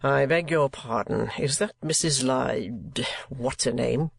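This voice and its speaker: A stern, blunt gentleman, as a woman reader plays him, setting down each word slowly and flatly. His husky low voice sits close to the mic in a dry storybook recording. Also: no echo, heavily acted. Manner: blunt, clipped and deliberate.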